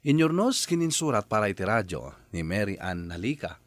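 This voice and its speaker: A man with a Filipino accent hosting a radio drama programme, giving advice and credits in a measured, emphatic way. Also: fairly low pitch, big pitch swings, no room sound. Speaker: radio drama host and letter advisor.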